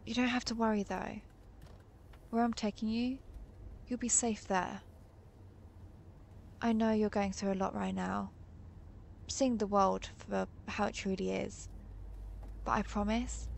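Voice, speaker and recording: Woman acting a gravelly, protective vampire huntress. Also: medium pitch, darkish tone, slight background noise. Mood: soothing, protective. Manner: reassuring, measured, confiding. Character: protective, guilt-ridden female vampire who hunts vampires. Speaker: audio roleplay performer. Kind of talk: intimate scripted audio roleplay addressed to the listener.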